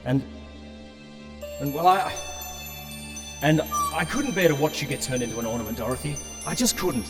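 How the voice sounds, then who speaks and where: A man's voice: fairly high pitch, expressive, normal speed, close to an everyday voice. Guy as a brave hesitant tin man, audio drama, hall reverb with music behind. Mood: brave but anxious. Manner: earnest, hesitant. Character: brave, gentle, self-sacrificing tin man. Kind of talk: full-cast fantasy audio drama.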